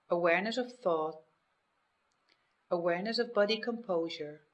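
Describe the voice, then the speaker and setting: A woman leading a guided meditation in a measured, instructional way, speaking in short phrases with pauses. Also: fairly low pitch. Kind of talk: guided meditation, scripted narration.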